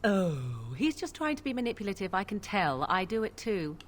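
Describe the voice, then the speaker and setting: A woman's voice: mid-range voice, very animated, normal speed. An actress playing a haughty, scornful witch in a video game, with a cutting, disdainful delivery. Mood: sly. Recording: game audio with faint noise.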